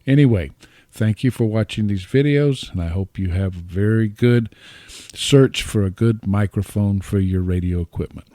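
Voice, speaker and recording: A middle-aged or older man giving an informal, plainspoken microphone demo in short, deliberate phrases, speaking close to a dynamic microphone. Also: fairly low pitch, warm tone, dry recording. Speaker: amateur radio hobbyist reviewing microphones. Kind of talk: informal explanatory product demo narration. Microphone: close dynamic podcast or studio microphone.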